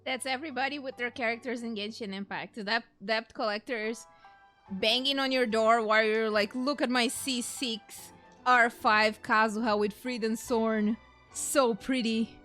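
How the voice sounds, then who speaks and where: A woman's voice: a little high, fairly even intonation, a little slow, a bit bright. A woman doing casual, chatty and rambling let's play commentary as a gaming streamer. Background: faint game music.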